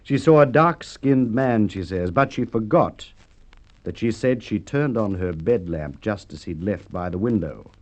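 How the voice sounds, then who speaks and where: Casting: unhurried, sardonic radio-play police inspector, lowish pitch, deliberate pauses, dull lo-fi vintage sound. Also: male voice, lively intonation, darkish tone. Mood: sardonic, triumphant. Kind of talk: radio drama acting.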